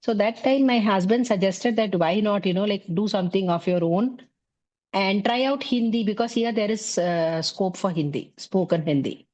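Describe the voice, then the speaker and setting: A middle-aged woman from South India with an Indian English accent, talking as an interview guest about her teaching work in a relaxed way, leaning on fillers like "you know, like". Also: medium pitch, moderate pace, rough recording. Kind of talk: conversational interview.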